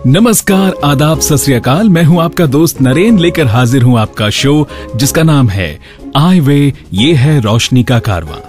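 A man's voice: medium pitch, expressive, measured pace, a little mellow, soft background music. A man hosting a radio show with scripted narration.

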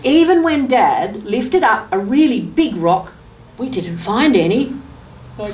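An older Australian woman leads a children's storytime, reading aloud and chatting about the pictures. Her voice sits at a medium pitch. She is recorded at some distance from the microphone, with faint background noise in the room. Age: 60s. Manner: addresses young children, explaining pictures and asking questions. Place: furnished office room indoors, seated.

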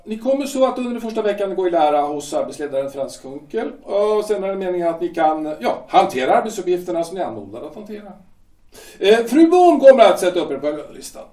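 A man playing a pompous shipyard director in a radio play, lecturing in a condescending, halting way. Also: sits high, very animated, warm tone. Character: pompous middle-aged male shipyard director, party loyalist. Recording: echoey room, distant mic.

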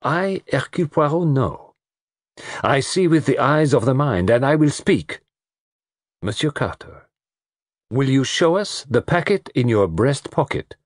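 A man voicing a commanding European detective with a French accent, speaking in a deliberate, theatrical, precise way in an audiobook. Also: mid-range voice, very animated, no room sound, clean studio sound, pitched above the performer's own voice.

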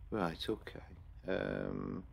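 A very deep-voiced man with a gravelly fry in his voice. He talks casually into a desktop mic as he reviews camera clips, drifting and correcting himself as he goes. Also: big pitch swings, very slow. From England. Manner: rambling, hesitant, many fillers and restarts. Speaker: paranormal investigator reviewing CCTV footage. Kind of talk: casual screen-recorded review commentary.

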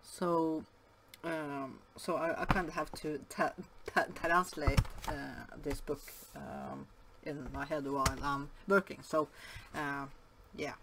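A woman from Norway with a Norwegian accent talks slowly and a little low, stumbling over words with frequent fillers and restarts. She speaks English as a second language in a relaxed home vlog, and faint room noise sits behind her. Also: vocal fry. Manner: hesitant, many fillers and restarts. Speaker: yarn vlogger. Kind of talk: casual show-and-tell vlog. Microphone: camera above the table, hands only.

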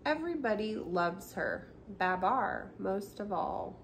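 A middle-aged woman hosting a storytime, reading a children's picture book aloud with expressive, simple storyteller phrasing for young children. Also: mid-range voice, slow. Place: small indoor room by a brick wall, seated. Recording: clearly noisy home recording.

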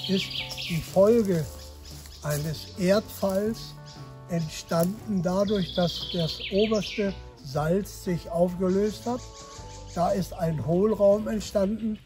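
An older man speaks very slowly, in deliberate and halting phrases. He explains things like a local tour guide, outdoors among trees. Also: high-pitched, noisy background. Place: outdoors in woodland.

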